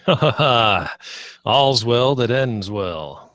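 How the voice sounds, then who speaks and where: A man's voice: mid-range voice, lively intonation, slow, a bit bright, dry recording, clean studio sound. A man playing a silly, self-important but nervous spaceship captain in a comedy table read, with an earnest comic manner. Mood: jolly.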